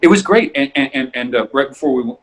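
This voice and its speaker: A man hosting a podcast, giving a casual introduction in a halting way, often repeating himself as he searches for words. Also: medium pitch, expressive. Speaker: podcast host.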